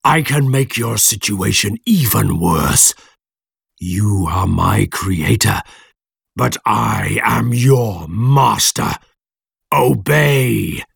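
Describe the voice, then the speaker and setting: A man voicing a bitter, vengeful monster in an audiobook, slow, threatening and commanding. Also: on the low side, expressive, gravelly, breathy edge, dry recording, studio quality, full character voice. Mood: commanding, menacing.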